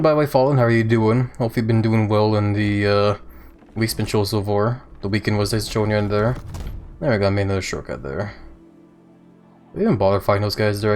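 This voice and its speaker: A man with a low voice talks casually to his live chat as he plays, answering viewers and chuckling at his own asides. His throat sounds a little rough. Quiet game music runs underneath. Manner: chatty, reads and answers chat while playing. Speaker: streamer. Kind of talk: casual let's-play commentary. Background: faint game music.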